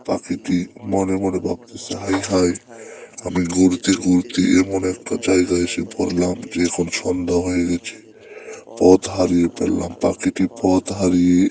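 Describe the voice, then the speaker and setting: A man's voice: deep voice, vocal fry, mellow. A man narrating a children's story, speaking to young listeners in simple, repetitive phrases.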